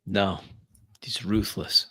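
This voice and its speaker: A man playing a tabletop roleplaying game, narrating his actions and speaking in character in an unhurried, deliberate way. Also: on the low side, little pitch movement, fairly bright tone, breathy edge. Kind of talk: improvised in-character roleplay and first-person narration.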